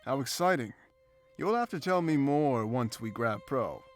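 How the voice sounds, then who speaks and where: A man's voice: medium pitch, expressive, measured pace, soft background music, lightly acted. A man voicing a confident, teasing male beast-folk rogue in a visual novel game, with a wry, smug manner. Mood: wry, composed.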